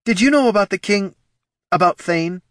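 A man voicing an anguished, furious female elf ranger with a fraught, halting manner in a fantasy audiobook. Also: high voice, animated, no echo, studio quality, pitched above the performer's own voice. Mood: demanding. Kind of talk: fantasy audiobook character dialogue.

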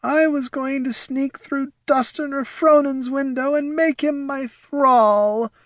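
A man's voice: very high voice, steady tone, slow pace, dry recording, pitched above the performer's own voice. A man voicing the angry, wailing shade of a young woman who feels cheated, in a fantasy audiobook. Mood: wailing, aggrieved. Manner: snarling, wailing, indignant.

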